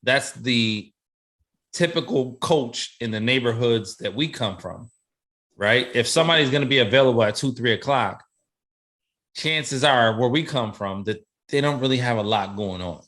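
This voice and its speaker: A man chatting casually as a co-host, halting and circling back over his phrases as he makes a point. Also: mid-range voice, fairly bright tone. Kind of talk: casual conversational commentary.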